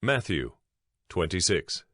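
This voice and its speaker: A man narrating dramatized scripture in a measured, formal storytelling manner. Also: sits low, very animated, sharp tone, no room sound, studio quality.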